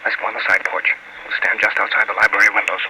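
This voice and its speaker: A man's voice: lowish voice, level tone, quick pace, pushed and bright. A man playing a resolute, tough masked crime fighter in an old-time radio drama, terse and commanding. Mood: hushed, intent. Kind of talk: 1940s radio acting. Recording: noisy old radio recording.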